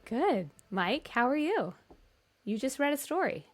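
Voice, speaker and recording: A woman's voice: high voice, sing-song, a little slow, faint music behind. A woman hosting a podcast, moving between introductions and casual chat. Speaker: podcast host.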